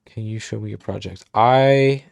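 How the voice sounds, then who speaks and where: A man's voice: lowish voice, measured pace, creaky, a little mellow, clear, resonant tone, no echo. A man livestreaming a game build, talking casually and thinking aloud as he types commands, with a put-on Irish accent. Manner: thinks aloud, muttering commands while typing. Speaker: streamer.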